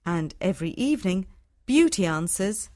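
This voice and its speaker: A woman storyteller reading a scripted fairytale for English learners in measured, short, simple phrases. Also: medium pitch, sing-song, a little mellow, no echo, clean studio sound.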